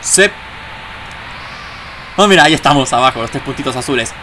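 A man's voice: medium pitch, animated, fairly quick, pushed and bright, a little hiss or hum. A man hosting a gaming video with casual let's play commentary, full of chatty, jokey asides.

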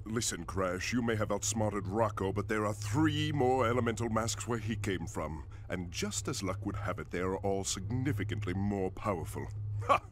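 An actor playing a pompous, exasperated mad scientist villain in a video game, theatrical and sneering. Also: male voice, on the low side, lively intonation, moderate pace, lightly acted. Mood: scheming. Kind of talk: video game cutscene acting. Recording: noisy game cutscene audio.

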